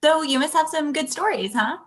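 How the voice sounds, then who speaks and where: A woman's voice: sits high, animated, brisk. A young woman playing a wry, polite thirty-year-old girlfriend in a comedy table read. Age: young adult.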